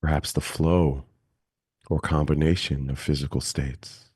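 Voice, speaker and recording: A man with an extremely low, mellow voice guides a meditation. He speaks calmly and gently, leaving long pauses between phrases. The recording is dry and close. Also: slightly rough, dry recording. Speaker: meditation teacher.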